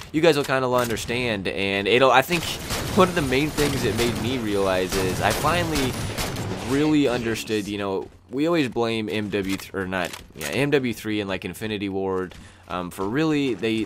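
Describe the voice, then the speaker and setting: A young man with a deep, low voice talks over gameplay, rambling and thinking aloud with constant "um"s. His throat sounds a little scratchy and raspy. Loud gunfire and explosions crackle behind him. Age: young adult. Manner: rambling, lots of "um" and "you know", thinking aloud. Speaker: gaming channel host. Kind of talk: gameplay commentary.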